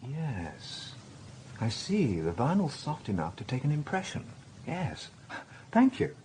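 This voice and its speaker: An actor playing a methodical, courteous police inspector in a radio drama, measured and polite as he narrates and questions. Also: medium pitch, huge pitch swings, darkish tone, a little hiss or hum. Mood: gently businesslike. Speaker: narrator and lead detective.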